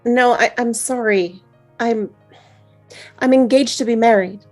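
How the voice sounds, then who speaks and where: A woman's voice: a little high, measured pace, a little mellow, soft background music. A woman playing a kind, flustered young college student in a screenplay table read, with a tender, apologetic way of talking. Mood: apologetic, hesitant.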